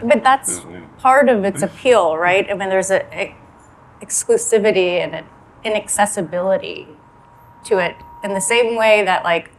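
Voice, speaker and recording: A woman in her forties from the United States, a novelist thinking aloud in a relaxed, reflective way and filling her talk with "like" and "you know". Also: medium pitch, normal speed, slight background noise, rough recording. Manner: reflective, loose with many likes and you knows. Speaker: novelist in a panel conversation. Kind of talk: casual conversation.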